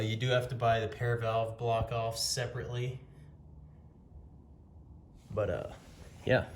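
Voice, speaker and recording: A man giving a casual hands-on product walkthrough in a plain-spoken, somewhat hesitant way. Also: mid-range voice. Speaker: amateur motorcycle reviewer. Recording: clear steady background noise.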